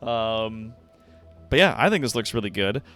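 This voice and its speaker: A man livestreaming in casual chat with viewers, thinking aloud in loose, halting phrases. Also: on the low side, animated, fairly bright tone, faint music behind.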